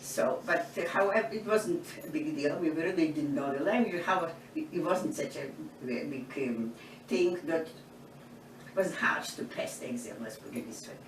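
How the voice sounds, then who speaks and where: A woman, from eastern Poland, giving oral history testimony in an unhurried storytelling way, often restarting her phrases. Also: low voice, lively intonation. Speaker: Holocaust survivor interviewee. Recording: roomy, distant mic, background noise.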